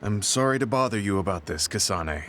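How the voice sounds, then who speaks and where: An actor playing a weary, remorseful veteran soldier in a video game, speaking in an earnest, apologetic and measured way. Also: male voice, on the low side, expressive, fairly bright tone, a little hiss or hum. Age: older. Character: weary, remorseful veteran male soldier, humble and self-reproachful. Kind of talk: video game English voice acting. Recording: game music behind the voice. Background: game music.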